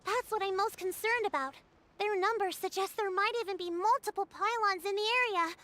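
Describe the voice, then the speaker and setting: Serious composed lady guard leader, game character, measured and polite. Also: extremely high pitch, sharp tone, a little hiss or hum. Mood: grave. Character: earnest, composed woman leading a village defense. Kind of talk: video game voice acting.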